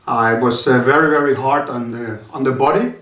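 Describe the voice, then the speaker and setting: Man, halting speech full of fillers, echoey room, mic set back. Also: medium pitch, a bit bright. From Denmark. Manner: halting, many filler sounds. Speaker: martial arts instructor. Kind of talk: casual interview talk. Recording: roomy sound from a distant mic.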